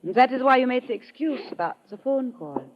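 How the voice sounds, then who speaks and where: High-voiced, seductive radio villainess. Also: female voice, lively intonation, fairly quick, a little hiss or hum. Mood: cool menace. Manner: theatrical, formal, menacing endearments. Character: cold, seductive female villain, sabotage ring boss with a gun. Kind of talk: 1950s radio drama acting. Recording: dull lo-fi old radio recording.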